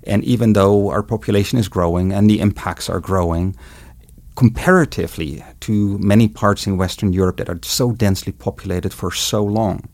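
Low gravelly Dutch-accented man. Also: fairly even intonation, a little mellow, no echo. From Netherlands. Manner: measured, explanatory, with some hesitations. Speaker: guest road ecologist. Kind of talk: interview answers.

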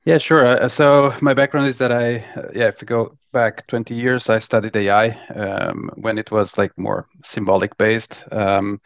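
A man's voice: on the low side, flat delivery, moderate pace, hardly any pauses, clean tone, dry recording. A man, talking casually as an interview guest on dull, dry lo-fi audio. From Lisbon, Portugal. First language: Portuguese. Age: 40s. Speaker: podcast guest, technology executive.

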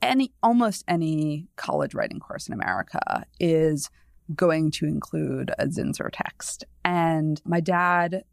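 Low-voiced, gravelly woman podcast guest. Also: big pitch swings, measured pace, dry recording, clean studio sound. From New England, US. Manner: chatty, discursive, many "you know" asides, laughs easily. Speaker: guest, newspaper columnist. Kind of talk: casual podcast conversation.

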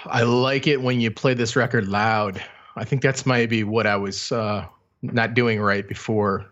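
Low-voiced man chatting, slightly dull recording. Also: steady tone, unhurried, a bit bright. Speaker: podcast co-host. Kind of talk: casual music review chat.

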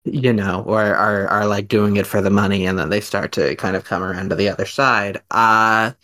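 A man with a somewhat low voice hosts a casual podcast discussion. He speaks like an amateur scholar working out a theory, halting often and rambling as he thinks aloud. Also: fairly even intonation, sharp tone. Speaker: podcast host.

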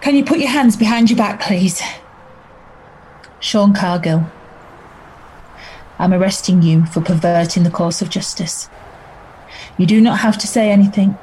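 An actress playing a calm, persuasive detective inspector in an audio drama. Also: female voice, on the low side, slight background noise, close to an everyday voice, poor audio.

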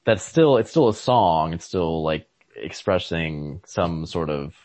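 A man co-hosting a podcast, working through his thoughts on literature in a casual, halting, amused way. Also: sits low, a little mellow. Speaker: podcast co-host. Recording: lo-fi, dry close recording.

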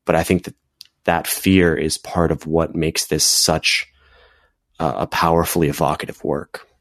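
A man on a podcast panel, speaking earnestly and in a measured way, with frequent fillers. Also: extremely low pitch, level tone, vocal fry, fairly bright tone, no echo, clean studio sound. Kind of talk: reflective discussion.

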